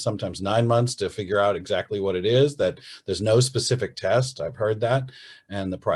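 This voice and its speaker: Low-voiced man hosting, halting with fillers. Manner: hesitant, with many "uh" and "um" fillers and restarts. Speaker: radio host and interviewer. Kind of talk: casual interview chat. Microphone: video call.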